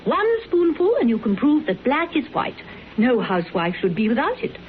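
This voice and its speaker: An actress playing a pert, self-assured, playfully sarcastic detective's colleague in a classic radio drama. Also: female voice, fairly high pitch, very animated, normal speed. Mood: mock-grand, pert. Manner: pert, arch, playfully theatrical. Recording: noisy, narrow old radio recording.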